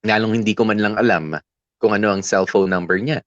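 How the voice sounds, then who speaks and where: Man acting as low-voiced lovestruck storyteller, dry recording. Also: steady tone, a little fast, a bit bright, no room sound, close to an everyday voice. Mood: reflective, smitten. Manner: candid, conversational. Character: lovestruck young male letter writer recounting his romance. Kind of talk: confessional first-person Taglish drama narration. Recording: dull, dry close recording.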